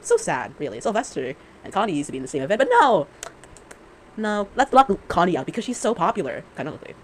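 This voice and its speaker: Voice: mid-range voice, huge pitch swings, fast talker. A fan commentator with a mid-range voice of ambiguous sex delivers an unscripted opinion rant. The delivery rambles and stammers, doubling back to correct itself with dry sarcasm. Noticeable noise sits behind the amateur recording.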